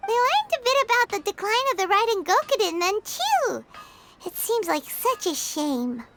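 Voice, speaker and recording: A woman voicing a tiny, perky, childlike fairy companion in a video game, with a squeaky, excitable delivery. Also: normal speed, background noise, full character voice. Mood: sympathetic. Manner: excitable, speaks of herself in third person. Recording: background music under the voice.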